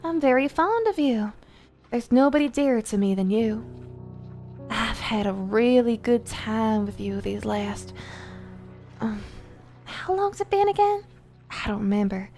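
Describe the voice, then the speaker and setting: A woman's voice: a little high, lively intonation, unhurried, long pauses, darkish tone, faint music behind. A woman voicing a cheerful, offhand female gravedigger who sounds falsely friendly and sing-song in a horror story. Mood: breezy unsettling.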